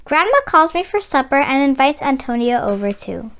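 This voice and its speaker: A woman reading a children's picture book aloud as host, with expressive, dramatic and deliberate phrasing. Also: sits high. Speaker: read-aloud channel host, storyteller.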